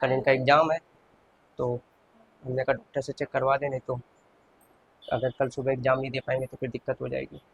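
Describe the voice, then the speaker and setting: A man's voice: medium pitch, level tone, brisk, vocal fry, long pauses, slightly rough, dull audio. A man in his thirties, a shopkeeper and father, chatting casually to viewers through a family vlog. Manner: chatty, addresses viewers as friends. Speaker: vlogger, shop owner and father.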